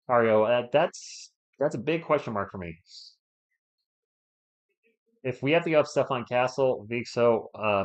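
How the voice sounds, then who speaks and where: Man casually calling a game live. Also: mid-range voice. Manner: conversational, addresses viewers by name, playful asides. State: under the weather. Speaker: live stream sports host and commentator. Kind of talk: casual fan play-by-play commentary, chatting with live viewers.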